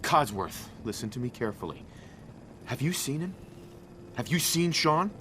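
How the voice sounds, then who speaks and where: A man's voice: medium pitch, sing-song, moderate pace, sharp tone, a little hiss or hum. A male actor playing a shocked, grieving husband and father in a video game, serious and understated. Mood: grieving, urgent. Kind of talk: video game character acting.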